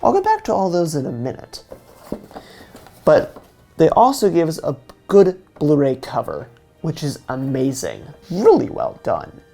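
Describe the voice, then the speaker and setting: A man's voice: on the high side, wildly swooping pitch, measured pace, creaky, mellow. A man giving a scripted video review in an earnest, enthusiastic fan's delivery. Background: faint music.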